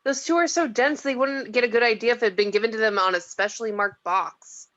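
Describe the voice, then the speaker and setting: A woman's voice: fairly high pitch, a little fast, fairly bright tone, close to an everyday voice. Woman playing skeptical mortal woman, dull lo-fi sound. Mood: sarcastic, challenging. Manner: skeptical, sarcastic. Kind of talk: amateur table read of a film script. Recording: dull lo-fi home mic.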